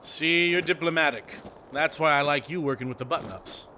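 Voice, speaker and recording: A man's voice: fairly high pitch, a little fast, bright, forceful tone, a little hiss or hum. An actor playing a self-assured, theatrical male theater director in an audio drama. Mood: brash. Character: brash confident male theater director, grandiose.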